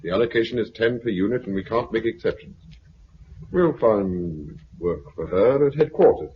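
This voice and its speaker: Low-voiced, smug military commander, old radio. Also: male voice, animated, breathy edge. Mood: officious, cold. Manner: brisk, officious, condescending. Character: smug authoritarian military commander of a post-apocalyptic regime. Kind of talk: 1950s radio drama acting. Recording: dull, noisy 1950s radio recording.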